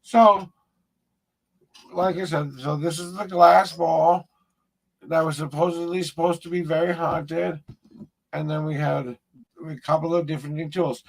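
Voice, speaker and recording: Gravelly man rambling to camera. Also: on the high side, steady tone, measured pace, pauses often, rough recording. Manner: rambling, plainspoken, restarts sentences. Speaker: paranormal investigator host. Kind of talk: casual talk to camera.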